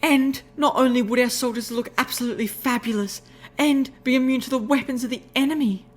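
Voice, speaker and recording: A woman's voice: a little high, big pitch swings, unhurried, pitched above the performer's own voice. A woman voicing a graceful, refined unicorn mare who speaks in a prim, ladylike way. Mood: enthusiastic. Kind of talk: audiobook reading of fan fiction.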